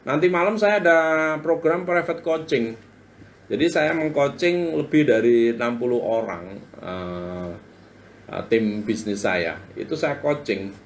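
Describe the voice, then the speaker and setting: A man's voice: mid-range voice, expressive, unhurried, slight background noise. A man in his forties hosting a live-stream book talk, chatting to viewers and reading their comments aloud in a motivational way. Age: 40s. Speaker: live-stream business coach.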